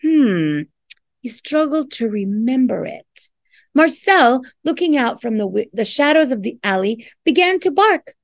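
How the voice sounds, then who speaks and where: Female teacher, middle-aged, storybook read-aloud for kids; lively swooping intonation, unhurried, explanatory. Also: high-pitched, no echo. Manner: expressive, explains words and prompts listeners with questions. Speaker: art teacher reading a picture book aloud. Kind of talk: storybook read-aloud for children, with questions to listeners. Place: classroom, indoors.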